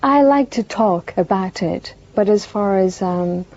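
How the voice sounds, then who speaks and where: A young Irish woman, Irish Gaelic her first language, giving soft, hesitant interview answers with many fillers. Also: mid-range voice, a little slow. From northwest Ireland. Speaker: musician guest. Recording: dull lo-fi broadcast tape.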